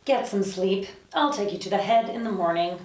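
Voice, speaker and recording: A woman's voice: medium pitch, animated, moderate pace, a little mellow, lightly acted. A woman reading the part of a chirpy, bossy, sardonic resident of hell in a drama table read. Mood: curt, bossy. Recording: roomy echo, distant mic.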